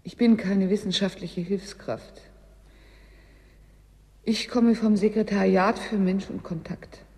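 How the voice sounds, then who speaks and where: A woman's voice: mid-range voice, fairly even intonation, slow pace, dark tone. An actress playing an anxious, plain-spoken woman in a radio drama, with an intimate way of talking. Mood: quiet, confessional. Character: anxious woman trapped under ice, confessing. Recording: long cavernous reverb.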